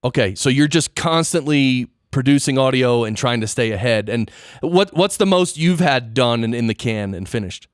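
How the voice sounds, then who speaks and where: A man's voice: medium pitch, lively intonation, moderate pace, hardly any pauses, no room sound. Man hosting a casual podcast, dry sound. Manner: informal, explanatory, checks understanding with questions. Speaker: podcast host interviewing a guest. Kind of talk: casual interview chat about audio gear and production.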